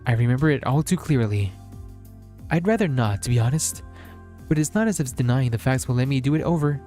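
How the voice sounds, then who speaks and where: Male streamer, mid-pitched and warm-toned, narrated read-aloud of a visual novel over background music. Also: animated, fairly quick.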